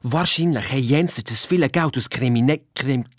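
A woman's voice: rumbling low, moderate pace, no echo. A woman playing a cheeky, blunt young boy detective with a Swiss German accent, chiming in casually in a children's radio play. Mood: casual, wry.

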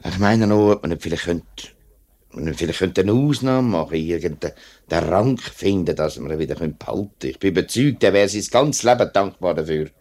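Deep-voiced, earnest Swiss union man. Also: fairly quick, darkish tone. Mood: earnest pleading. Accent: Swiss German. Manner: earnest, hesitant, respectful. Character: earnest, upright middle-aged male union workman, deferential. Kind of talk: 1950s radio play acting.

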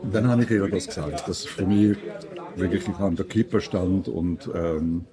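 An older man with a low voice and a Swiss German accent. He tells personal stories in a casual conversational way, with clear chatter behind him. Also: a little slow. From Switzerland. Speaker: radio maker, interviewer.

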